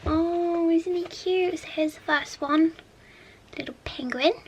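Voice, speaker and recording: A young-sounding woman with an English accent speaks in a soft, very high, childlike voice. She chats in a loose, rambling way, often sinking to a near whisper. A faint hum sits under the recording. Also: takes it slowly. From United Kingdom. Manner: chatty, rambling with fillers. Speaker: vlogger. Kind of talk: casual vlog chat.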